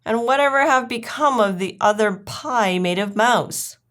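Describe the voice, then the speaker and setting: A woman's voice: on the high side, unhurried, a bit bright, pitched above the performer's own voice. Genteel lady dog, played by a woman, anxious, formal, fretfully polite, storybook character. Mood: fretful, puzzled.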